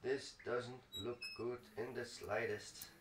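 A man hosts a gaming video in a casual, self-directed mutter with some mild profanity. His medium-pitched voice sits back from the microphone in a roomy space. There is clear noise and clicking on the recording. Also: little pitch movement, slow. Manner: muttering to himself, mildly profane. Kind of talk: casual let's play commentary. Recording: roomy, distant mic, clearly noisy with clicks.

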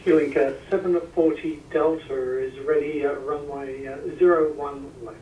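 A man making halting pilot radio calls, heard through a speaker some way from the microphone. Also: fairly high pitch, steady tone, narrow, tinny audio. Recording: echoey distant radio speaker, faint noise.

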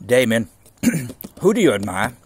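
A man voicing a patient but weary male art teacher in a storybook, hesitant and dryly exasperated, with a husky voice. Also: mid-range voice, sing-song, a little slow.

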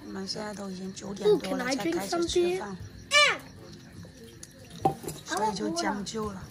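A woman's voice: sits high, sing-song, normal speed, very noisy. A woman chatting casually while showing a camper trailer at a campsite, filmed on a phone. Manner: chatty, showing around and narrating. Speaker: vlogger. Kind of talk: casual family camping vlog. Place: outdoors at a campsite.

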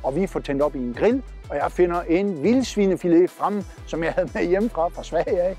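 Upbeat Danish trucker man, higher voice. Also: a little mellow, faint music behind. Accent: Danish, from Southern Jutland. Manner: upbeat, chatty, pointing while talking. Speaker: trucker vlog host. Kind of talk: casual vlog narration to camera. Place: outdoors by parked trucks. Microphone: lapel mic.

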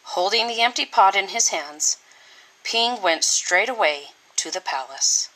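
A woman reading a children's storybook aloud with deliberate, expressive, dramatic phrasing. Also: mid-range voice, rough recording. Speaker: teacher reading a picture book.

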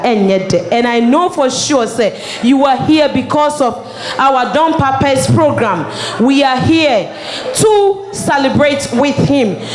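A woman with a Ghanaian accent, a native Twi speaker, hosting a party as master of ceremonies and mixing languages in an emphatic, exclamatory way. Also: on the high side. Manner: exclamatory, emphatic, repeats phrases. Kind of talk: live event hosting, mixing local language and English. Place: party venue, amplified to guests. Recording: echoey PA at a crowded event.

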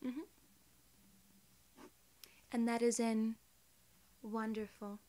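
A soft-spoken female alien gatekeeper, played by a young woman, with a slightly high voice. She is formal, measured and reassuring, in the style of close-up ASMR role-play. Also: level tone. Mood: polite, reassuring. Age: 20s.

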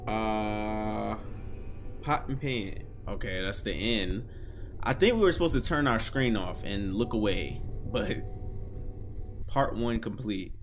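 A young man in a casual reaction style, reading text aloud and breaking in with slangy, animated exclamations. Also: on the low side, slow, faint music behind. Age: young adult. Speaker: reaction video host. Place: small room indoors.

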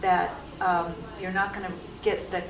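A woman's voice: lowish voice, little pitch movement, a little slow. A woman in an audience making a spontaneous comment and question, searching for her words as she goes. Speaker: audience member asking questions. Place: conference session room. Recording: echoey noisy room, distant microphone.

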